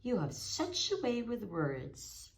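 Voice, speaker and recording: A woman voicing a caring male best friend in a children's storybook, gentle, earnest and concerned. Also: mid-range voice, wildly swooping pitch, slow pace, creaky, pitched above the performer's own voice, exaggerated voice. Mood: grateful. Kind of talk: children's storybook character reading.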